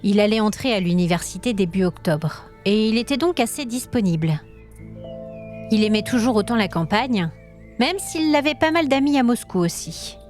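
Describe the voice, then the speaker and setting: A woman tells a scripted fantasy tale as a storyteller. Her voice has a medium pitch, and the narration is dramatic, with light music underneath. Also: lively intonation, normal speed, a little mellow.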